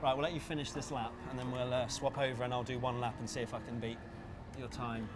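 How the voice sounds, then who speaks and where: Male interviewer over clear engine noise. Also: medium pitch, level tone, fast. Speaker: interviewer and host. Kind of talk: casual interview chat at a racing game rig. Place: racing simulator at a busy gaming event. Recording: clear vehicle and ambient background noise.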